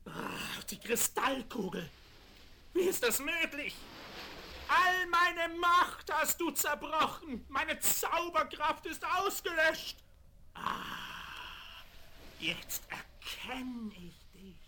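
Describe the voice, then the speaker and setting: Evil fairy-tale sorcerer: high-pitched, piercing, vain and exclamatory, in a vintage children's audio play. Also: male voice, lively intonation, extremely slow, pushed and bright, full character voice. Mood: defeated, furious. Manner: theatrical, gloating and exclamatory. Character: vain, cackling evil male sorcerer losing his power. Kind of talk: 1970s German children's audio play acting. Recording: vinyl record rip with background noise.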